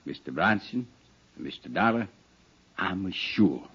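An actor playing a humble, eager immigrant violin seller in a 1950s radio drama, halting and deferential. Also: male voice, deep voice, lively intonation, fairly bright tone, slightly rough, heavily acted. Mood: deferential, earnest. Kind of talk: 1950s radio character acting. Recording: dull 1950s radio recording.

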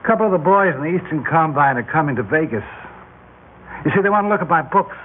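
An American actor playing a falsely chummy, menacing gambling boss in an old-time radio drama. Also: male voice, a little high, lively intonation, quick pace, natural voice. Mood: coolly threatening. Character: smooth, menacing male gambling boss and creditor. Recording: old radio broadcast, narrow band, hiss.

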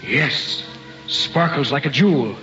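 An actor playing a determined, curious professor leading an expedition in an old-time radio drama, grave and earnest in delivery. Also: male voice, mid-range voice, slow, over music, lightly acted. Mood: eager. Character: determined, curious male scientist professor, middle-aged expedition leader. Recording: muffled vintage radio recording.

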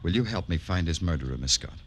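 An actor playing a world-weary police detective, terse and dry, in old-time radio noir style. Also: male voice, low-pitched, animated, fast, fairly bright tone, slight background noise. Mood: gently probing.

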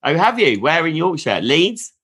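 A man from England hosting a podcast in a friendly, upbeat way. He fires off quick questions and gives cheerful sign-offs. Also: a little high, sing-song, fairly bright tone, slightly rough. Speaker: podcast host. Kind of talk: casual interview and podcast outro.

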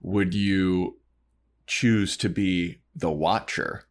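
A man's voice: sits low, fairly even intonation, very slow. A man hosting a podcast, chatting casually and informally about a TV show. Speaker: podcast host.

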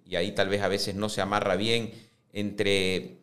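A man in his fifties answering interview questions on a podcast, speaking in a measured way that often restarts and repeats words as he builds his points. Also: lowish voice, level tone, fairly bright tone. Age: 50s. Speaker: club presidential candidate.

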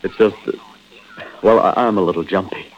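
An American actor playing an evasive, nervous husband in a 1940s-style radio drama, hesitant and placating in manner. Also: male voice, sits low, fairly even intonation, brisk. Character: evasive, nervous husband caught cheating on his wife. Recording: muffled old radio drama recording.

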